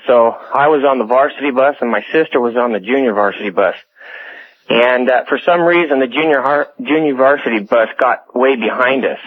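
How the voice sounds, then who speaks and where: A young American man phoning a radio show to tell a personal story casually, in a halting, hesitant way over a telephone line. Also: medium pitch. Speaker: phone-in caller. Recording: tinny phone line on radio.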